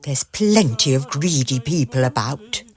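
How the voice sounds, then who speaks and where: A woman's voice: extremely low pitch, expressive, measured pace, a little mellow. An actress playing a young, knowing, suspicious woman of an opera troupe in a period audio drama. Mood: insinuating.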